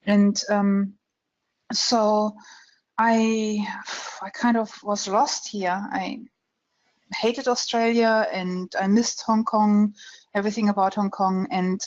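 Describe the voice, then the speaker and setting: A middle-aged woman with a German accent talks through her story in a relaxed, frank way, filling pauses with soft ums. Her voice sits at an ordinary middle pitch. The sound is dull and muffled, like webinar audio. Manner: frank, laughs easily, many ums. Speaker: trader, interview guest. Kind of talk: conversational interview storytelling.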